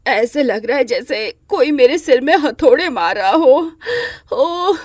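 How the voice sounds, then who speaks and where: A woman's voice: sits high, animated, measured pace, a little mellow, no echo, clean studio sound. A woman voicing a kindly middle-aged mother-in-law in a moral story, with a motherly way of talking. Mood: pained, complaining. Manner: affectionate, motherly. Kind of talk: Hindi moral-story character acting.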